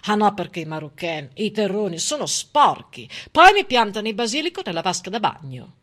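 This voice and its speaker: A woman's voice: mid-range voice, sing-song, fairly quick, a bit bright. A woman playing a prejudiced landlady in a stage monologue, blunt and dismissive, chattering away. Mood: snobbish, dismissive. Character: prejudiced older Bolognese landlady, fussy and snobbish.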